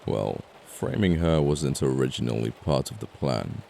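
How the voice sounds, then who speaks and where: A man playing an amoral, flirty murderer in an ASMR audio roleplay, speaking in a gravelly, deliberate, sardonic way with pauses between threats. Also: extremely low pitch, animated, dark tone, a little hiss or hum, full character voice. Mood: wry, confessional. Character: amoral, flirty, apologetic male murderer, coolly menacing.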